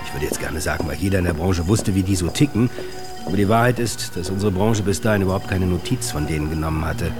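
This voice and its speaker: An actor playing a self-justifying music industry manager, in a measured, explanatory radio monologue. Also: male voice, low-pitched. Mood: reflective, rueful. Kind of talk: radio feature monologue and letter reading. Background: faint music.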